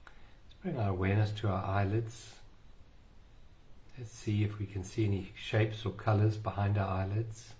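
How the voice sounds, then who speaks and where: A man guiding a meditation in a slow, soothing way with long pauses. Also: fairly low pitch, steady tone, a little mellow. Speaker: meditation guide. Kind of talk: guided meditation.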